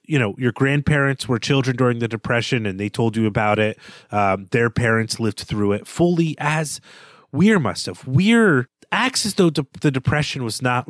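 Man, podcast co-host, casual book talk, hesitant with fillers, mid pitch, dry close sound. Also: dry recording. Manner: thoughtful, hesitant, with frequent fillers. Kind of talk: casual literary discussion.

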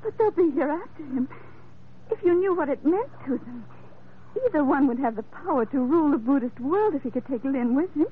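Casting: anxious, pleading young mother, high voice, radio melodrama, faintly hissy old recording. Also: expressive, a little fast, narrow, tinny audio. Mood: fearful. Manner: anxious, earnest, dramatic. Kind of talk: 1940s-50s radio drama acting.

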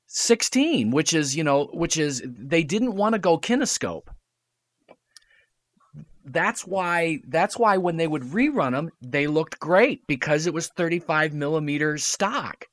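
A man's voice: fairly high pitch, animated, measured pace, dry recording. A man as a podcast guest, explaining technical things emphatically in a casual chat.

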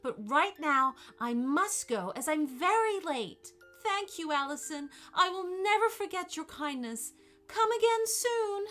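A woman's voice: extremely high pitch, expressive, a little slow, pushed and bright, faint music behind, pitched above the performer's own voice, heavily acted. A woman voicing a sweet, grateful young mermaid who teaches a class, speaking effusively.

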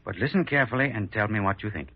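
Businesslike investigator on old radio. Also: male voice, fairly low pitch, expressive, very fast. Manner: plain, decisive. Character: brisk, businesslike insurance investigator, fair-minded. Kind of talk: old-time radio acting. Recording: muffled old radio recording.

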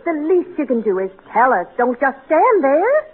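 Animated scolding wife on old radio. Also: female voice, high-pitched, moderate pace. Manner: nagging, emphatic, exasperated. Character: scolding, practical wife and mother, kind underneath. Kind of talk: 1940s radio comedy acting. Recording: tinny, noisy 1940s radio recording.

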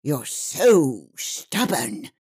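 A woman's voice: extremely low pitch, sing-song, drawn-out words, darkish tone, breathy edge, heavily acted. A woman playing a weary, testy storyteller in a fantasy comedy audio drama. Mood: exasperated.

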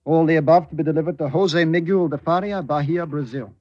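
A man plays a meek, fidgety cabin boy of sixteen in an old radio play. His voice is youthful and fairly high, close and dry. He answers obediently and deferentially. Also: a little fast, no echo, highs cut off, close to an everyday voice. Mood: dutiful. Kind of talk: old-time radio drama acting.

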